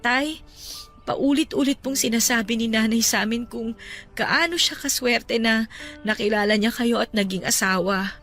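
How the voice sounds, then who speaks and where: A devoted young adult daughter in a radio drama, gentle and respectful. Her voice is on the high side and earnestly pleading. Soft music runs behind her. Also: normal speed. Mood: affectionate, reassuring. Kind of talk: emotional radio drama acting. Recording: music under the voice.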